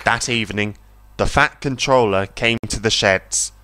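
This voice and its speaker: A man's voice: on the low side, unhurried, sharp tone, some chatter behind, a little hiss or hum. A man reading a scripted children's story as its narrator, close on the microphone.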